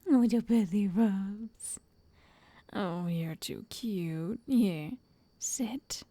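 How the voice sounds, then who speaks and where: A woman voicing a possessive, doting witch in an ASMR roleplay, soft and largely whispered, coaxing the listener with sweet menace. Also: mid-range voice, no room sound. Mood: doting, affectionate. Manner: slow, coaxing, intimate, addressing the listener.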